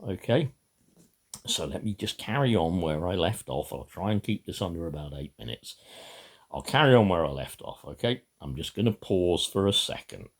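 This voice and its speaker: An older or middle-aged man talking to camera in a rambling, personal way, gravelly and husky, halting and then suddenly emphatic. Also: low-pitched, sing-song. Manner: emphatic, halting, with dramatic swells and drops. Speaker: video maker giving a reading.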